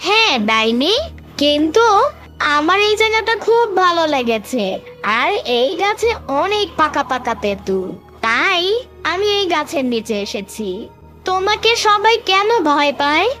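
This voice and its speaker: A woman's voice: very high-pitched, sing-song, unhurried, pushed and bright, exaggerated voice. A woman voicing a fearless, curious little village boy in a cartoon, bold, chirpy and inquisitive, with music behind. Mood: friendly, curious. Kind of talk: cartoon character voice acting.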